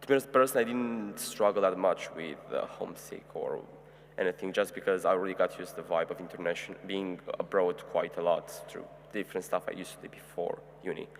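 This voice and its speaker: Young man from Romania, very low voice, student panelist, informal advice, long hall reverb, faint hum. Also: quick pace. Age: 20s. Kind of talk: unscripted advice to new students. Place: large echoing hall. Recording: reverberant hall, humming background noise.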